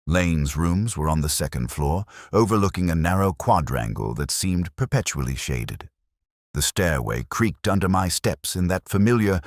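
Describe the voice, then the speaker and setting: A man reading a scripted first-person mystery story in a measured, literary and brooding manner. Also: extremely low pitch, dry recording, studio quality. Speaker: narrator.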